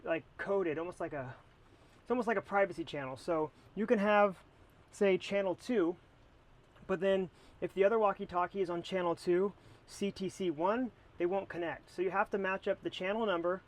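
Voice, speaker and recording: An American man talking casually to camera as he reviews a product. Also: high-pitched.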